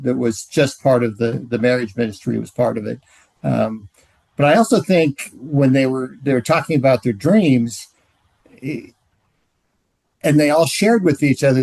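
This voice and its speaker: Man, gravelly voice, dry sound, halting, thoughtful answer. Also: mid-range voice, darkish tone, no echo. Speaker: ministry leader guest.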